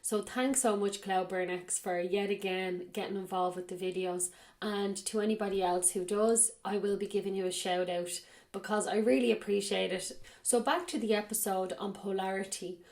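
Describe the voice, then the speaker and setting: A woman hosting a podcast, reading a spiritual text aloud in a slow, measured way and then commenting on it, her voice a little raspy from a cold. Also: mid-range voice, a little mellow. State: has a cold. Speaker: podcast host.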